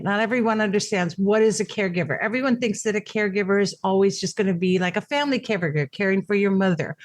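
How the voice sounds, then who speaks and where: An older woman whose first language is Japanese, chatting as a podcast guest in a rambling way, with many restarts and fillers. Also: medium pitch. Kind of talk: casual interview chat.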